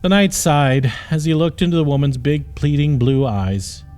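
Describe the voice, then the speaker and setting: A man reading a fantasy audiobook as narrator, in an expressive, scripted storytelling style. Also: on the high side, normal speed, faint music behind.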